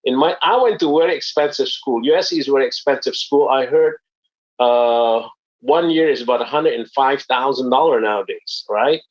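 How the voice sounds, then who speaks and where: A man's voice: mid-range voice, moderate pace, muffled recording. A man from Indonesia giving an earnest, explanatory business webinar talk with frequent fillers. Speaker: network marketing presenter.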